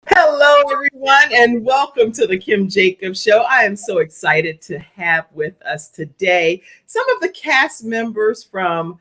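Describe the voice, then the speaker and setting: A woman's voice: mid-range voice, expressive, unhurried, few pauses, fairly bright tone, low-quality recording. An American woman hosting a live online talk show in an enthusiastic, welcoming manner.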